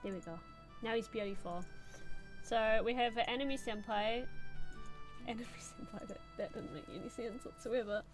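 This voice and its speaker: A young woman talks her way through a drawing session on stream, rambling between chat questions. Her voice sits slightly high and she is full of "like" and "um". Quiet music plays behind her. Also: moderate pace. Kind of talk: casual live-stream chat while drawing.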